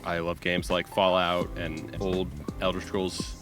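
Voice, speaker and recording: A man with an American accent and a fairly low voice chats as a guest game designer. He speaks casually and haltingly, feeling for his words, while music plays clearly behind him. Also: little pitch movement. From California, United States. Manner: halting, self-deprecating, searching for words. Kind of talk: casual, unscripted interview.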